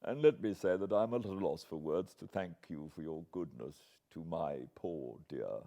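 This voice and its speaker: A man as a grieving, low-voiced young gentleman. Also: moderate pace. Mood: sincere, contrite. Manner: earnest, polite, emotional. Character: grieving young English gentleman, courteous, warm-hearted.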